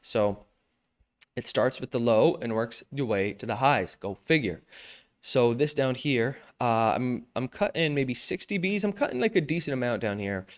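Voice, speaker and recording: Smooth-voiced young man teaching vocal mixing. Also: mid-range voice, moderate pace. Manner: relaxed, slangy, self-joking. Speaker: music production tutor. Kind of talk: casual screen-recorded mixing tutorial.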